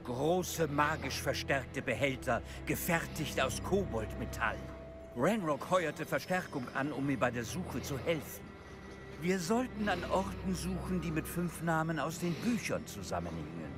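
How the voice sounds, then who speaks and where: Give me a grave, proud old goblin lord for a game, curt and distrustful, his pitch rising and falling with feeling. Game music sits clearly behind him. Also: sing-song. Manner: stern, measured, curt. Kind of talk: German game dub.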